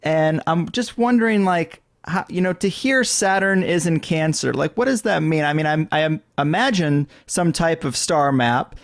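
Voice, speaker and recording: A man hosting a podcast, asking casual, halting questions and searching for his words. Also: a little high, expressive, no room sound, clean studio sound. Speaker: podcast host.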